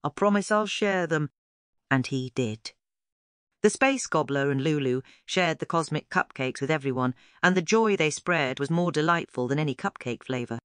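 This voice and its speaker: Crisp, dry children's storyteller. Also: medium pitch, normal speed, fairly bright tone, no room sound. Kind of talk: scripted children's story read-aloud.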